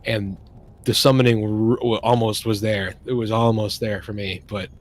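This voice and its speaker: A man's voice: on the low side, flat delivery, normal speed. A man chatting casually on a stream, rambling enthusiastically and often trailing off and restarting.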